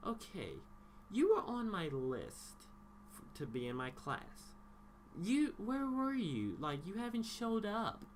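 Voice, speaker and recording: A man's voice: high-pitched, huge pitch swings, takes it slowly, a little hiss or hum, voice pushed up, exaggerated voice. Man putting on a fussy, bossy woman teacher. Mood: questioning. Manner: curt, insistent, scolding.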